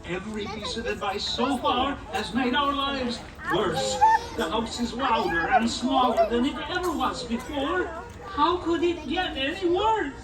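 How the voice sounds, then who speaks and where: An echoey, crowded hall frames a performer playing a village papa in a puppet show. The voice is high, earnest and emphatic, with declamatory delivery. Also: expressive, measured pace, over a noisy crowd. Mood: earnest complaint. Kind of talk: children's puppet show acting. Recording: echoey, distant stage with loud audience.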